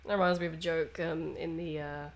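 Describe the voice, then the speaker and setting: A woman's voice: low voice, little pitch movement, fairly quick, gravelly, a little hiss or hum. A woman co-hosting a game stream, mixing casual, sweary banter with reading game text aloud. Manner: irreverent, sweary.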